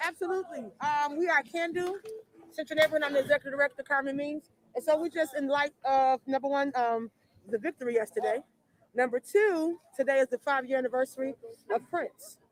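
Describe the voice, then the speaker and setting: A woman who runs a neighborhood nonprofit, answering a street interview in a soft, friendly, halting way. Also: sits high, rough recording. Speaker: nonprofit executive director.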